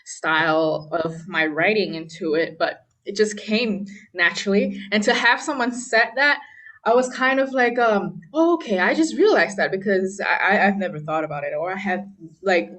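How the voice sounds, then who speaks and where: A woman's voice: medium pitch, moderate pace, a bit bright. Chatty young woman from Malaysia. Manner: chatty, many fillers, laughs often. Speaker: guest pianist. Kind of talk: casual interview talk.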